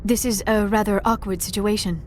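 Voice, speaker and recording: Woman playing a gentle, formal alien scientist. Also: medium pitch, steady tone, brisk, slight background noise, lightly acted. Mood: awkward, earnest. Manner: formal, precise speech without contractions. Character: gentle, earnest young female alien scientist. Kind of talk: video game dialogue acting.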